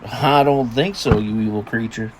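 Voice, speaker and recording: A man's voice: medium pitch, moderate pace, background noise, lightly acted. A man acting the part of a weary, teasing father of a teenage daughter in an audio drama. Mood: wry, easygoing.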